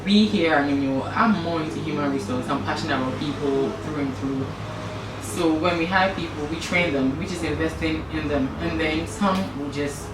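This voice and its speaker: A woman's voice: sits low, moderate pace, reverberant, distant mic, noisy background. A Ghanaian woman who owns a restaurant, talking confidently and proudly in a casual interview, laughing easily. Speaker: restaurant owner.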